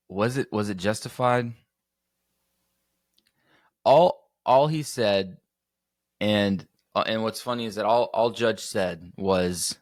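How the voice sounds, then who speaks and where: A man with a slightly hoarse voice hosting a casual sports podcast, rambling and correcting himself as he goes. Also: on the low side, no echo, studio quality. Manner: rambling, self-correcting, chatty. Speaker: podcast host.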